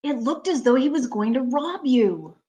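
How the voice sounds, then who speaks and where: A woman's voice: sits high, lively intonation, moderate pace, dark tone. A woman playing a haunted, anxious motorist in an amateur radio play, narrating her journey in an earnest, dramatic way. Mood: frightened, pleading. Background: prominent music.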